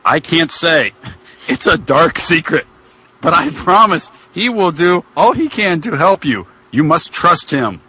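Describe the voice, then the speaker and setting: A man playing an earnest male wrestler pleading for an accused friend, declaiming and halting over the script in a mock-dramatic reading. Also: fairly high pitch, sing-song, pushed and bright, husky, heavily acted.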